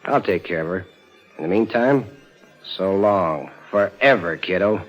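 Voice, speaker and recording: A man's voice: deep voice, lively intonation, unhurried, soft background music. An actor playing a menacing, sneering tough gunman in a 1950s radio crime drama, slangy and threatening. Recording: muffled old radio recording.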